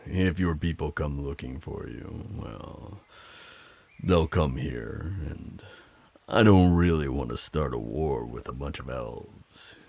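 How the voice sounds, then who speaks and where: An ASMR roleplay werewolf, acted out by a man, speaks close and dry in a rough, gravel-edged male voice. He is flirtatious and teasing, yet protective of his forest. His delivery is unhurried, with deliberate pauses. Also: darkish tone, no room sound, exaggerated voice. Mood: wary, firm. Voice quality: very deep, gravelly, husky. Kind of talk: ASMR roleplay, intimate scripted monologue.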